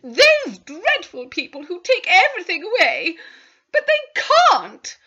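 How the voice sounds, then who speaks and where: A woman voicing a panicking, shrill middle-aged lady of the house who is close to tears. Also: wildly swooping pitch, unhurried, bright, forceful tone, heavily acted. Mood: horrified. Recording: dull lo-fi recording.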